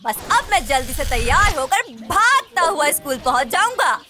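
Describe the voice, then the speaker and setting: A woman's voice: high-pitched, sing-song, normal speed, sharp tone, soft background music, full character voice. A woman voicing an impulsive, boastful young schoolboy in a children's cartoon. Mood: confident, eager.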